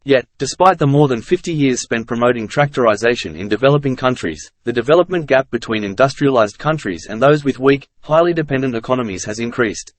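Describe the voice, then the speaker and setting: A man reads a written passage aloud as a narrator for test practice. His delivery is measured, careful and neutral, recorded very dry with no room sound. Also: mid-range voice, expressive, no room sound.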